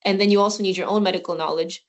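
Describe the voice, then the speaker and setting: A young woman doctor speaks on a phone call and explains her daily hospital work step by step. She keeps her pitch at an ordinary middle level and pauses often with small fillers as she goes. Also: steady tone. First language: not Swedish. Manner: explains steps plainly, with many fillers. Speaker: guest doctor. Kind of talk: online presentation talk.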